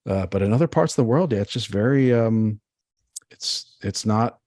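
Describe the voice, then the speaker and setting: A man's voice: lowish voice, expressive, moderate pace, darkish tone, dry recording, studio quality. A man co-hosting a podcast, rambling in casual chat full of fillers. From United States. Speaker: podcast co-host.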